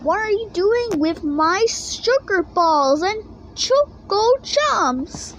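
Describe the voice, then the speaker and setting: A blustery papa bear from a picture book, played by a woman reader, with a high voice. He loves his snacks and exclaims over them in an unhurried way. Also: very animated, a bit bright, a little hiss or hum, full character voice, low-quality recording. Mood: indignant.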